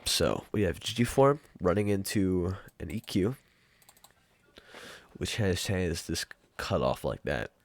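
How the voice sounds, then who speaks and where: Low-voiced young man, casual tutorial. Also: lively intonation, slow pace, no echo. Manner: informal, rambling, mild swearing. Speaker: tutorial host. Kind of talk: casual screen-capture software tutorial. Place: room at home.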